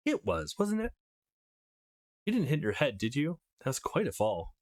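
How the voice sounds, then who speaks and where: A man's voice: fairly high pitch, wildly swooping pitch, normal speed, voice pushed up. A man voicing a hyper, mischievous eighteen-year-old girl, a perky childhood friend in a visual novel. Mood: teasing, concerned.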